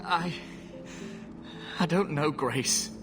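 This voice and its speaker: A man's voice: a little high, extremely slow, over music. A man playing a kindhearted, remorseful young mage in a video game, hesitant and earnest. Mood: uncertain. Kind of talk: dramatic video game acting.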